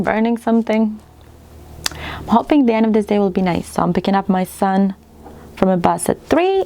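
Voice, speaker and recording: A woman with a Ukrainian accent talks in a casual, personal vlog style. Her voice sits at a middling pitch and is heavily laced with vocal fry. She speaks reflectively, pausing mid-thought, with faint noise behind her. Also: darkish tone. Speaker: needlework vlogger. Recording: clearly audible background room noise.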